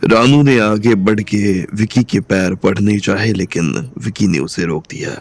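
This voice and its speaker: A man narrating a story with a steady storytelling manner. His voice is very low and gravelly, with faint music running underneath. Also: a little slow. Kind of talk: audiobook narration. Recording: soft music bed underneath.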